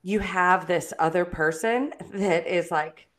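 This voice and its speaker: Woman with a deep booming voice. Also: slow. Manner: candid, laughs loudly, blunt. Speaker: guest, licensed clinical social worker. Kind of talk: casual podcast conversation.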